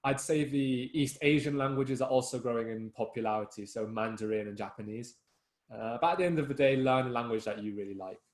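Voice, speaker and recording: A man's voice: mid-range voice, fairly even intonation, fairly quick. Earnest young Englishman, echoey room. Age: young adult. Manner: earnest, many fillers and restarts. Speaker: student giving advice talk. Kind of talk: informal talk to school students.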